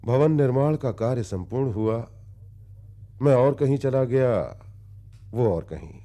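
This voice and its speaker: An actor playing a world-weary, philosophical wandering man who recounts his past in formal, deliberate radio-drama storytelling with long pauses. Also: mid-range voice, lively intonation, mellow, close to an everyday voice. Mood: reflective, resigned.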